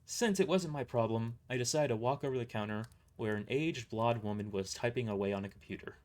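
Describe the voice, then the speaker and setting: A man narrating a first-person story aloud, reading a little haltingly and stumbling over words. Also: mid-range voice, a little mellow.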